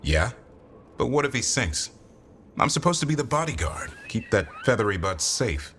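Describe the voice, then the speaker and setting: A deep, expressive gruff warrior. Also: male voice, moderate pace, slight background noise. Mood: scolding, protective. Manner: wry, blunt, scolding. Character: gruff, protective male warrior bodyguard, dryly affectionate. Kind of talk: dramatic video game dialogue.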